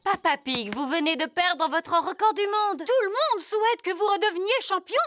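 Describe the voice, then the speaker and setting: Very high, animated cartoon mother. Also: normal speed, background noise. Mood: brisk. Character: kindly, brisk mother animal in children's cartoon. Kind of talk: French cartoon dub.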